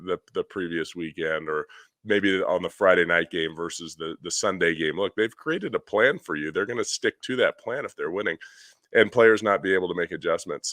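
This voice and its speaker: Hitting coach, man, low pitch, dry mic, explains with many restarts. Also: fairly bright tone, dry recording. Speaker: hitting coach and podcast host. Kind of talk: casual podcast discussion.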